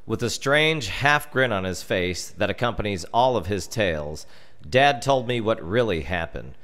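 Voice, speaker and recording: Expressive low-voiced American male storyteller. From United States. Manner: theatrical, expressive delivery with dramatic pauses. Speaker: storyteller reading his comic family memoir. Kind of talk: scripted storytelling narration.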